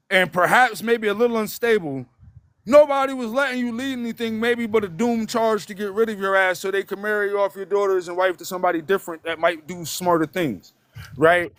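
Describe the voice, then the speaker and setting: A man whose voice sits high for a man, heard close and dry with no room sound. He talks bluntly and emphatically, swearing freely and tagging each point with "right?". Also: a bit bright, dry recording. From Detroit, United States. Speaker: guest. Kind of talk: casual live-stream conversation.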